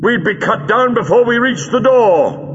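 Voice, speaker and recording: A man voicing a bold, quick-witted hero in an audiobook, calling out with mocking defiance. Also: high-pitched, animated, long reverb tail, voice pushed up. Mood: grim. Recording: dull lo-fi audio with noise.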